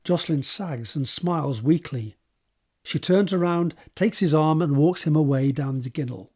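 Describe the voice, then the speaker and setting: A man's voice: on the high side, normal speed, a little mellow. A man reading scripted stage directions as the narrator of an audio drama.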